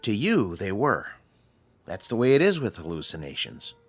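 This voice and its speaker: A calmly authoritative psychiatrist in a radio drama. His voice sits a bit low and moves expressively as he patiently reasons and questions in a didactic way. There is music underneath. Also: slightly rough, exaggerated voice. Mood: patient, probing. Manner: measured, didactic, probing.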